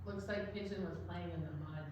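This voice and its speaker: A woman's voice: medium pitch, fairly even intonation, brisk, darkish tone. A woman hosting children's storytime, chatting and asking simple questions for young children. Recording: distant mic, roomy echo, noticeable noise.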